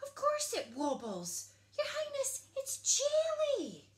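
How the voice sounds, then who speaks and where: A woman's voice: very high voice, wildly swooping pitch, slow, echoey room, voice pushed up, heavily acted. A woman voicing a flustered, pleading royal cook from a storybook, exclaiming as they go.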